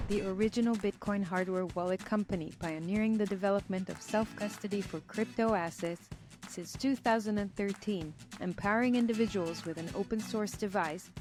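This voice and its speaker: A woman giving a scripted sponsor read in a smooth, promotional manner. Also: mid-range voice. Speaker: ad reader. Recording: music bed under the voice.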